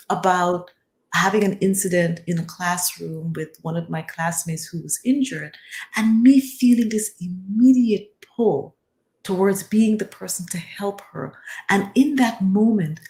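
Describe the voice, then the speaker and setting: A woman from the Caribbean, born in Guyana and raised in Barbados, a guest author telling her story in an interview with an earnest, measured manner. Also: on the low side, a little mellow. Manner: earnest and measured, builds lists of phrases.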